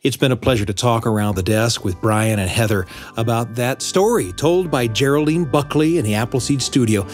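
A man's voice: medium pitch, normal speed, soft background music. A man hosting a radio show with scripted narration, leaning on words for emphasis.

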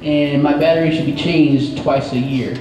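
A man's voice: fairly high pitch, steady tone, moderate pace, close to an everyday voice. A man playing a laid-back, helpful smoke detector puppet in a live children's safety show, answering casually. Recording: echoey mall, distant mic, audience noise.

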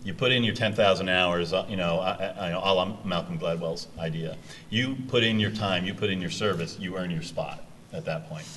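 A man with a deep voice leads a panel of speakers in a university meeting room. He speaks with energy and directness, talking to the students in front of him through a table microphone, with a faint hum in the room. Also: fairly quick, fairly bright tone. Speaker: panel moderator. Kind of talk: casual panel talk.

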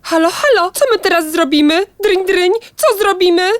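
A woman's voice: very high voice, moderate pace. A woman voicing a fearful, dramatic toy telephone in a children's audio play, panicky and full of exclamations. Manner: panicky, exclamatory, interjecting ringing sounds. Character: frightened, dramatic toy telephone that cries out in alarm.

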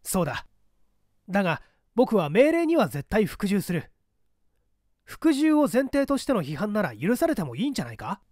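A cool, defiant male operative of a secret party acted by a man in a dramatic audiobook. His voice is high and very animated, with a dry close sound. He argues rhetorically and formally, with a sardonic edge. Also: moderate pace, dry recording. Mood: indignant. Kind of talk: dramatic audiobook character dialogue.

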